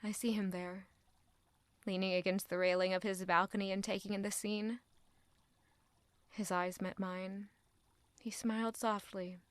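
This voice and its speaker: A woman's voice: medium pitch, level tone, a little slow, long pauses, no room sound, natural voice. An actress playing an anguished, proud young court lady in an audio drama, brooding intimately in a dramatic first-person monologue. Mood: subdued, uneasy. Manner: intimate, brooding, emotionally intense.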